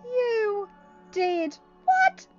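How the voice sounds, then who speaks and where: Woman playing sharp-tempered teen girl, storybook dialogue, high-pitched, exasperated, emphatic, slightly lo-fi. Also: very animated, very slow, heavily acted. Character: feisty, sharp-tempered teenage girl, sarcastic leader. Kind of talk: amateur story reading with dialogue. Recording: dull lo-fi audio, music behind.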